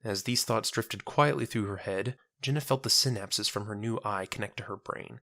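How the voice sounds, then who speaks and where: A man narrates a scripted drama in a lowish voice with a bright, forceful edge. The sound is close and dry, as in an audiobook. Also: normal speed, dry recording.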